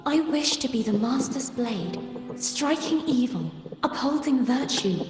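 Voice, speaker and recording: Earnest maiden in echoing hall. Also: female voice, high voice, lively intonation, unhurried. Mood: resolute. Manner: earnest, formal. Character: devout, resolute young maiden taking holy vows. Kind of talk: ceremonial video game voice acting. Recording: long hall reverb, music behind.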